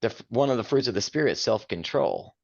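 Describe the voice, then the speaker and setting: A man's voice: medium pitch, lively intonation, fairly quick, gravelly, lo-fi sound. Older man with a big, carrying voice. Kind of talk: casual chat.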